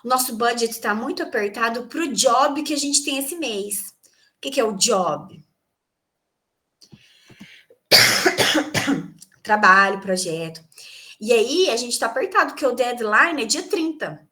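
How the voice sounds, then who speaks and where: A woman teaching an English class online, her voice sitting a little high. She explains each term step by step, asks her students questions, and then answers them. She speaks into a computer mic on a video call. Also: expressive, slow, pauses often, sharp tone.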